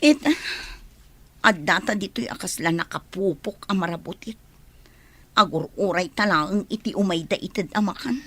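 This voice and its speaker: A woman's voice: lowish voice, normal speed, a bit bright, breathy edge. A woman playing a regretful, resigned crook in a radio drama, with an Ilocano accent.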